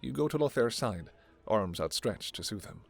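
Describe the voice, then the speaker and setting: Deep-voiced male story narrator. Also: animated, normal speed, vocal fry. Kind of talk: scripted second-person story narration.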